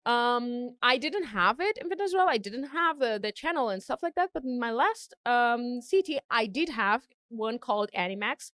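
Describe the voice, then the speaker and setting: A woman's voice: on the high side, animated, normal speed, hardly any pauses, fairly bright tone, clean tone, dry recording. A young woman with a Brazilian Portuguese accent, a singer chatting as an interview guest, laughing often and restarting her sentences. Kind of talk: casual interview chat.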